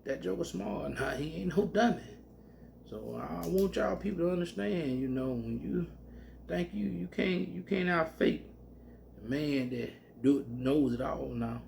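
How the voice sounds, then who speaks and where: A man teaching scripture in a loose, colloquial country way, breaking into loud whoops. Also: on the high side, measured pace, vocal fry, a little hiss or hum. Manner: animated, bursts into loud whoops; colloquial Southern speech. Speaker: preacher.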